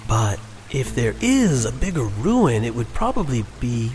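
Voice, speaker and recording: A man playing a wondering, soft-hearted elf adventurer with an awed delivery in a dark fantasy audio drama. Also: medium pitch, big pitch swings, measured pace, a little hiss or hum. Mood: pondering.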